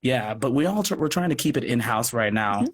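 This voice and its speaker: A man speaking as an interview guest in a casual, halting way, thinking aloud as he goes. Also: medium pitch, animated. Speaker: guest, audio drama producer and actor.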